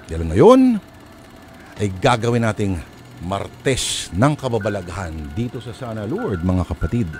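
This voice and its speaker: A man introducing a radio drama as host, speaking emphatically with drawn-out pauses between phrases. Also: fairly low pitch, darkish tone. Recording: music under the voice. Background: faint music.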